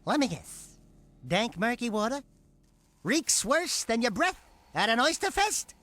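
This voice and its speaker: An actor playing a small, cocky animal sidekick in a video game, sarcastic, whiny and theatrically mouthy. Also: male voice, very high voice, big pitch swings, measured pace, bright, forceful tone, heavily acted. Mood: sarcastic, mocking. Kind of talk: cartoonish video game acting.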